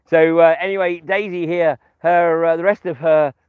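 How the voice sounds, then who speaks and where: A British man giving an informal political monologue to camera outdoors in a field, hesitant and wry, with emphatic pauses. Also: a little high, fairly even intonation, clear, resonant tone, no echo, phone-quality sound. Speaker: vlogger and political commentator. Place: outdoors in a farm field by a public footpath.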